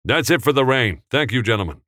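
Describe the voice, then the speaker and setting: A man voicing a commanding male film director who shouts orders on set. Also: lowish voice, sing-song, unhurried, no room sound, studio quality, voice pushed up, exaggerated voice. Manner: barking, emphatic.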